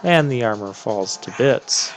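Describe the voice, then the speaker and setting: A man hosts a video game playthrough with casual commentary. He speaks at an unhurried, slow pace, and game music plays clearly behind his voice. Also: mid-range voice, lively intonation.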